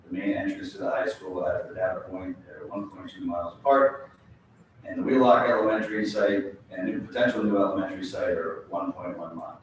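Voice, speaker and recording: Man, low voice, public forum presenter, measured, echoey hall, off-mic. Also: distant mic, slight background noise. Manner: measured, reads slides and fields interruptions. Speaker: school building committee presenter.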